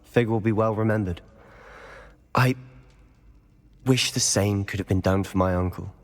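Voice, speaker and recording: A man's voice: lowish voice, steady tone, unhurried. A man voicing a guilt-ridden, defensive teenage wizard with a British accent in a dramatic video game cutscene. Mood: subdued grief. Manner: earnest, emotionally intense.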